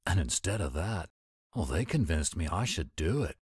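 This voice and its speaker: A man's voice: low-pitched, very animated, normal speed, breathy edge, no room sound, clean studio sound, full character voice. A man voicing a laid-back, eager werewolf sidekick who drawls in dude slang for an audio roleplay. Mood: excited.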